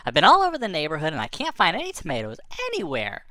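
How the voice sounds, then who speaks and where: A woman voicing a small, hungry, polite vampire moth in a children's story, repeating phrases eagerly and haltingly. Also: sits low, wildly swooping pitch, sharp tone, no echo, clean studio sound, full character voice. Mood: plaintive, hungry.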